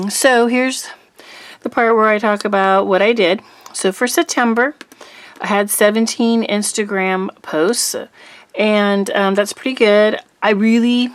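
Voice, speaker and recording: A middle-aged woman hosting a crafting channel, chatting casually to camera in a rambling way with little laughs and ums, her voice slightly rough from allergies. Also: mid-range voice, no room sound.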